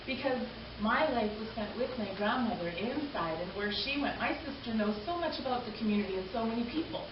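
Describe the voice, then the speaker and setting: A woman's voice: on the high side, animated, brisk, few pauses. Earnest woman in her forties, far off. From Canada. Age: 40s. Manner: earnest, heartfelt, repeats phrases for emphasis. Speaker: panel speaker. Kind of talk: personal talk to a gathering. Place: community arts event hall. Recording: echoey room, distant mic, dull sound, background noise.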